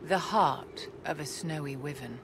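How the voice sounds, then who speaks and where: An actress playing a poised, secretive court enchantress in a video game, formal and measured, with condescending courtesy. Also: female voice, deep voice, noisy background. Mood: composed, guarded. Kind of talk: video game cutscene acting.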